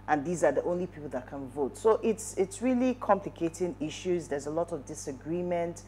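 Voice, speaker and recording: A woman with a Nigerian accent giving news commentary as a studio talk show panelist, in halting phrases. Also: sits low, lively intonation, mellow, a little hiss or hum. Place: TV studio, at a desk.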